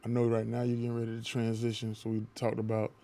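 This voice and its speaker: A man's voice: mid-range voice, completely flat delivery, fast, mellow. A man hosting a podcast, asking questions and talking in an easygoing, conversational way. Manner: easygoing, conversational, drawls casual phrases. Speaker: podcast host. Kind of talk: casual interview chat.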